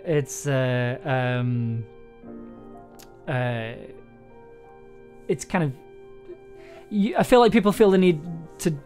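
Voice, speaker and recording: A man's voice: a little high, darkish tone. A young man chatting casually on a livestream, rambling and hesitant, often breaking off and restarting his own sentences. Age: young adult. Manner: rambling, hesitant, self-interrupting. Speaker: audio drama maker and livestream host. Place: small indoor home room.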